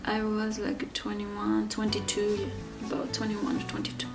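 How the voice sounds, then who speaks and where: A woman in her thirties from Ecuador telling her own story in an interview, speaking haltingly with many fillers and restarts. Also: medium pitch, completely flat delivery, creaky, far from the mic, faint music behind. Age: 30s. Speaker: interview guest.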